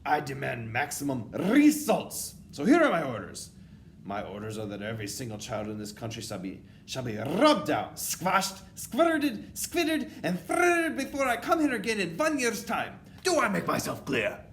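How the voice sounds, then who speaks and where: A man's voice: medium pitch, expressive, a little slow, creaky, hardly any pauses, a bit bright, slight background noise, voice pushed up. A man voicing a tyrannical, furious female leader of witches in a storybook, shrieking commands and rhetorical questions. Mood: demanding, menacing. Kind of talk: children's storybook read-aloud.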